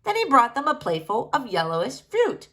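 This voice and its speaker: A woman reading a rhyming children's story aloud in an expressive narrating style. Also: high voice, a little fast. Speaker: storybook reader.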